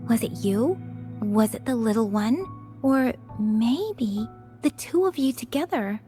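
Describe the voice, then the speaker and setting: A woman voicing a helpful young woman in a video game, who explains things in a measured, patient way. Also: a little high, lively intonation, warm tone, faint music behind. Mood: patient, explaining.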